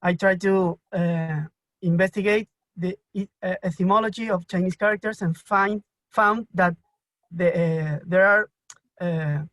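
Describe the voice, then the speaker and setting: A man from Argentina with an Argentine Spanish accent asks a question in a live online Q&A, speaking haltingly with many fillers and restarts. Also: sits high, steady tone, a bit bright, dry recording. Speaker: audience member asking a question. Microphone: video call.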